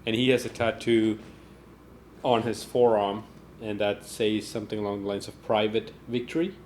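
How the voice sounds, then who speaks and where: A man hosting a podcast, chatting in a relaxed, curious and thoughtful way. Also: lowish voice, moderate pace, a little hiss or hum. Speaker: podcast host. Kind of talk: casual conversation.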